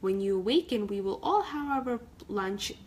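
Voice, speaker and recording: A woman's voice: medium pitch, lively intonation, moderate pace, a little mellow, lightly acted. A woman voicing a patient, encouraging female bear schoolteacher in a storybook.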